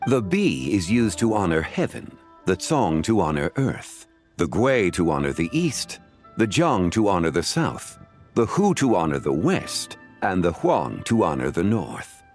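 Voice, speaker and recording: A courteous, learned gentleman in a video game. His voice is very low, with expressive rises and falls. He speaks formally and explains things with composure, over soft music. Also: unhurried. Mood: calm, instructive. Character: composed, erudite, courteous gentleman scholar of antiquities. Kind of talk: video game character dialogue.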